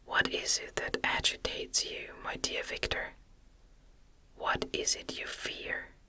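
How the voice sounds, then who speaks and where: A narrator voicing a gentle young wife in a tender, soothing, breathy whisper for an audiobook. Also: takes it slowly. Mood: gently worried. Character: Elizabeth, gentle young wife soothing her anxious husband.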